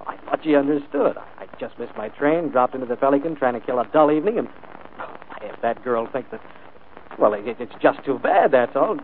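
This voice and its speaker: Male actor as suave gentleman boss, lively swooping pitch, imperious, clipped, tinny noisy 1940s radio. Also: fairly quick, lightly acted. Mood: dismissive. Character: wealthy, commanding employer, suave and proud gentleman. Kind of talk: 1940s radio drama acting.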